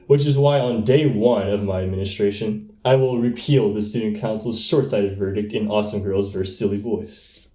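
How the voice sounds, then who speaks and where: A man's voice: on the low side, normal speed, warm tone, reverberant, close to an everyday voice. A young American man playing a brash boy running for student president, giving pompous political speeches in a comedy radio play. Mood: smug. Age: young adult.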